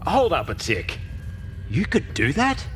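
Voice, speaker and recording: An actor playing a brash, roguish spaceship captain in a video game, speaking in a cheeky, informal way. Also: male voice, a little high, wildly swooping pitch, unhurried, a bit bright, faint music behind, exaggerated voice. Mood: taken aback. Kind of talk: scripted video game character dialogue.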